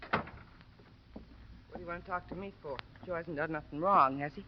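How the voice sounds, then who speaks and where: An actress playing a worried, defensive working-class wife who speaks bluntly and plainly, in a 1950s radio crime drama. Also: female voice, fairly low pitch, moderate pace, background noise, close to an everyday voice. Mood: defensive, anxious. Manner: blunt, uneducated plain speech. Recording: muffled old radio broadcast recording.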